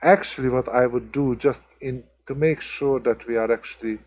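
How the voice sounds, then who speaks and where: A man's voice: medium pitch, steady tone, moderate pace, darkish tone. A man from Hungary with a strong Hungarian accent, chatting casually with viewers as the host of a live stream, full of ums and restarts. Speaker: livestream host.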